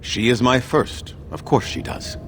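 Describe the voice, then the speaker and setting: An actor playing a proud, cold, sardonic elven clan leader in a fantasy video game, measured and haughty. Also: male voice, medium pitch, natural voice. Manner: measured, haughty, dryly sarcastic. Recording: noisy game audio.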